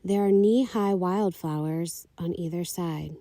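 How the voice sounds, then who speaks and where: Slow, mellow woman guiding meditation. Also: fairly low pitch, no echo. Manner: calm, soothing, unhurried instruction. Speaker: meditation guide. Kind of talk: guided meditation, slow scripted narration.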